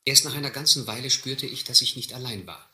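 An actor playing an earnest, educated man in a sea adventure radio play, with a measured, literary delivery. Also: mid-range voice, sharp tone. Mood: grave, reflective. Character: earnest, educated castaway man narrating his sea ordeal. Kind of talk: German radio play acting and narration. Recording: roomy, faintly noisy old radio play.

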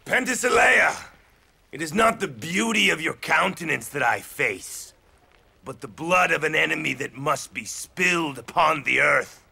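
A gravelly, fierce Greek champion. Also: male voice, on the high side, expressive, unhurried, pushed and bright, full character voice. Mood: fierce challenge. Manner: intense, declamatory. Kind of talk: dramatic video game acting.

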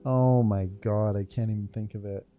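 A man's voice: fairly low pitch, steady tone, moderate pace, dark tone, no room sound, lo-fi sound, faint music behind. A man in his thirties giving informal, slangy playthrough commentary, often searching for words. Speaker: gaming streamer.

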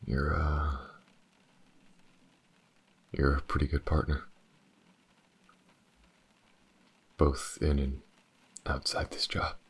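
A man acts out a world-weary detective with a very deep, gravelly voice. He speaks slowly, in a hesitant and shyly tender way, as if confiding in one listener in an intimate audio drama. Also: little pitch movement, exaggerated voice. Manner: hesitant, halting, stammering. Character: world-weary but tender male detective, awkwardly shy. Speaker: voice actor. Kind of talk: audio roleplay, intimate acting addressed to the listener.

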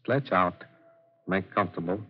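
An actor playing a polite, coaxing Chinese opium den keeper, speaking broken English with a stylised Chinese accent in 1940s radio style. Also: male voice, low voice, moderate pace, lightly acted. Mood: soothing, insistent. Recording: dull, noisy 1940s radio recording.

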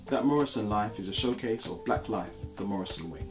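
A man in his thirties introduces his channel from the sofa at home, speaking in a measured, earnest way. His voice sits a little low, and clear music plays underneath him throughout. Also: lively intonation. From East London, England. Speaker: channel co-host. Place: living room, on a sofa. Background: soft background music.

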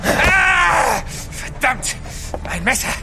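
Slow, high-pitched brutish sailor. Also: male voice, sing-song, vocal fry, shouting, over loud music, exaggerated voice. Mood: furious. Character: brutish, foul-mouthed knife-wielding sailor. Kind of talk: German radio drama acting.

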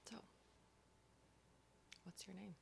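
A woman playing a foul-mouthed, sardonic apocalypse survivor in an ASMR horror roleplay, breathy, dry and often whispering. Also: noisy background, close to an everyday voice. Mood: wary, casual. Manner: sweary, dry, unhurried.

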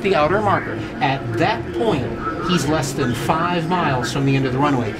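A man in his sixties giving an interactive guided demonstration to visitors, speaking emphatically in short phrases with pauses and questions. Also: on the high side, over a noisy crowd. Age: 60s. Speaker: museum docent. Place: indoor museum exhibit. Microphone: visitor's handheld camera a short distance away.

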